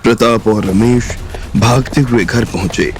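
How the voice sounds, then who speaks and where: A man narrating a romantic crime audio drama in a measured storytelling manner. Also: medium pitch, steady tone, soft background music.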